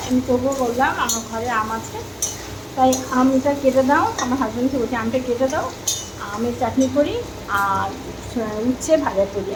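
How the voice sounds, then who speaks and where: High-voiced woman chatting in an echoey room. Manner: repeats phrases, addresses viewers with questions. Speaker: home cooking and eating vlogger. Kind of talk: casual chatty vlog talk to viewers. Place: indoors at home. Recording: echoey room with clear background noise.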